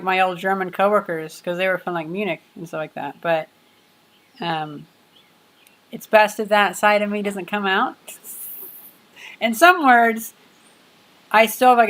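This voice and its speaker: A young woman in her twenties hosts a relaxed livestream from her home workshop. Her talk wanders from topic to topic, loose and chatty, full of little fillers. Her pitch sits right in the middle of the range. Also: unhurried, pauses often. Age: 20s. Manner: rambling, chatty, many fillers. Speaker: livestream host. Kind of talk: casual livestream chat.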